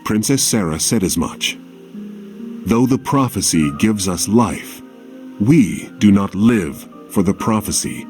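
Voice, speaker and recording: An actor playing an earnest, resolute warrior in a video game, speaking in a solemn, measured way. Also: male voice, fairly low pitch, lively intonation, darkish tone, soft background music. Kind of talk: dramatic video game voice acting.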